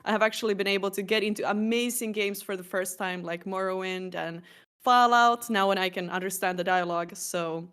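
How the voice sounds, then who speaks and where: A woman's voice: medium pitch, steady tone, a little fast, fairly bright tone, clean tone. Woman, chatty guest. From Finland. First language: Swedish. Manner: chatty, hesitant fillers. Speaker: guest streamer. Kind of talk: casual chat.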